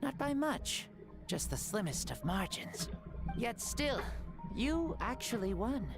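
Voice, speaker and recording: An ancient warrior hero in a child's body, proud, hearty and honorable. The delivery is grand and theatrical, unhurried yet very animated in pitch, like a video game legend giving a speech. Music plays clearly behind the voice. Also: female voice, exaggerated voice. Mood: hearty, self-deprecating. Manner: theatrical, grand, measured oratory. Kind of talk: scripted video game voice acting.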